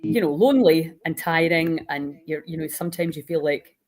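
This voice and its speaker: A woman's voice: low voice, moderate pace, darkish tone. A woman hosting an informal live online interview in a chatty, enthusiastic way. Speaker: host.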